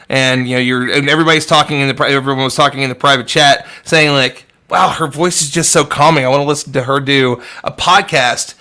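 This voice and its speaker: Bright-voiced earnest male host. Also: medium pitch. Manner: earnest, rambling with many restarts. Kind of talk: casual livestream chat.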